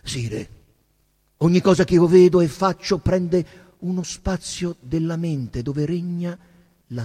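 An actor playing a reflective Venetian merchant traveller, reciting theatrically with emphatic, incantatory phrasing and dramatic pauses. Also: male voice, on the high side, warm tone, slightly rough, heavily acted. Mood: calm, contemplative. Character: Venetian merchant traveller, reflective, vivid storyteller of imagined cities. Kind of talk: theatrical literary recital over live music. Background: live saxophone music.

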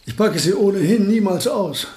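A dry ironic old scientist in a radio play, lowkey and modest, voice sits a bit high. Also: male voice, lively intonation, normal speed, darkish tone, slight background noise. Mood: wry. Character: wry, modest elderly male physicist visitor.

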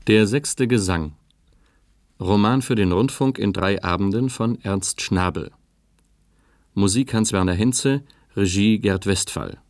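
Slow male radio announcer, lowish voice. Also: no room sound, studio quality. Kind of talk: 1950s radio title announcement.

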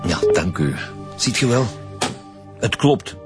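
A blunt Flemish police commissioner. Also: male voice, lowish voice, sing-song, slow, over loud music. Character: male police commissioner, dry and sure. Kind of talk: radio drama acting.

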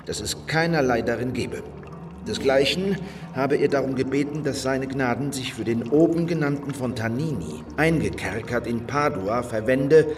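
An actor playing a sober, wary fugitive heretic in a German historical radio drama, with measured, solemn delivery. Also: male voice, mid-range voice, recorded from a distance, lightly acted.

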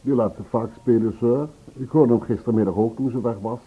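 An actor playing a dutiful, deferential building porter in a Dutch radio play, polite and servile. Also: male voice, mid-range voice, fairly even intonation, brisk, natural voice. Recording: tinny narrow old radio recording.

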